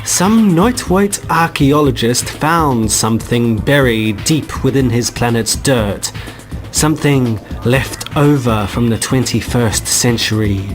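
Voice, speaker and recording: Slow, measured male narrator. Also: mid-range voice. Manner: measured, unhurried storytelling. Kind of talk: scripted radio drama narration. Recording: loud music behind.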